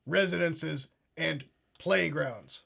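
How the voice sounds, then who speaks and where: An actor playing a pompous conspiracy talk show host, earnest and solemn in a deadpan parody. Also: male voice, on the high side, slow pace, vocal fry, heavily acted. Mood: smug earnest.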